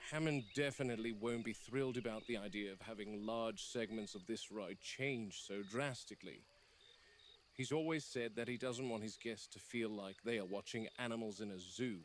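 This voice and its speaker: A man voicing a grave, wary game warden in an analog horror log, measured and formal, on a field-style recording. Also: medium pitch, slight background noise, lightly acted. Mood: measured, concerned. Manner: measured, serious, formal delivery. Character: grave, wary male game warden logging dinosaur observations. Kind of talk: scripted analog horror voice acting.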